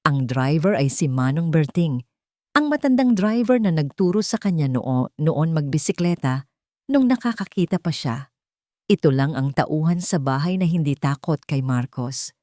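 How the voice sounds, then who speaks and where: A woman's voice: sits low, expressive, normal speed, dark tone, dry recording, clean studio sound. A woman with a Filipino accent narrating a dramatic scripted story. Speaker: narrator of love stories.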